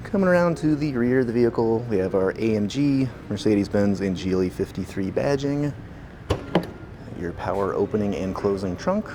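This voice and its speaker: A man, a car salesman, giving a casual walkaround tour of a vehicle at a dealership, talking in a relaxed, plain way with frequent fillers. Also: on the low side, normal speed, noisy background.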